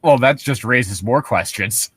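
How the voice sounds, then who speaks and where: A man's voice: medium pitch, measured pace, fairly bright tone, breathy edge, no echo. A man co-hosting a comedy podcast, casually riffing on horror stories in a profane, sarcastic, ranting way. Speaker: podcast co-host.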